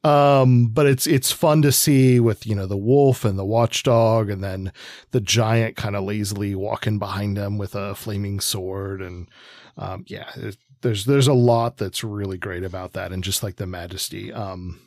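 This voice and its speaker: A man's voice: lowish voice, fairly even intonation, a little slow, darkish tone, no room sound, clean studio sound. A man in his forties chatting on a podcast, rambling with lots of fillers and restarts. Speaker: podcast co-host. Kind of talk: casual chat about comics.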